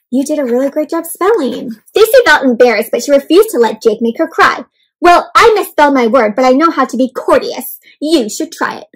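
A young woman reading a picture book aloud to children in an animated, interactive way, stopping often to ask her young listeners questions. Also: high voice, poor audio. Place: indoors in front of a leafy backdrop.

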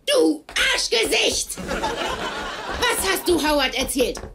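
Very high, piercing little woman. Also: very animated, takes it slowly, creaky, noisy background, full character voice. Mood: furious. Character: tiny, shrill, hot-tempered young woman. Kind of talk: dubbed sitcom acting.

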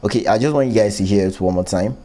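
A man's voice: lowish voice, fast talker, warm tone. A man hosting a casual tech tutorial, informally explaining an app step by step. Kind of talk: casual screen-recorded app walkthrough. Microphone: close one-way microphone, voice-over.

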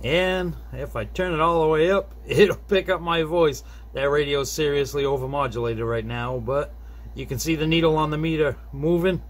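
A middle-aged man talking casually and unhurriedly, half to himself, as he demonstrates a hands-on repair at a desk. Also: on the high side. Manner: unhurried, muttering to self while working. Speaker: hobbyist repair video host. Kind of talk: casual hands-on demonstration, narrating as they work. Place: small indoor desk workspace. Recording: faint background noise.

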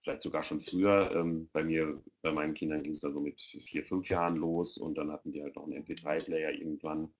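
A man's voice: a bass voice, little pitch movement, fairly quick, few pauses. A middle-aged man informally answering questions as a guest expert on a video call. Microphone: headset on a video call. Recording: dull, lo-fi video-call audio.

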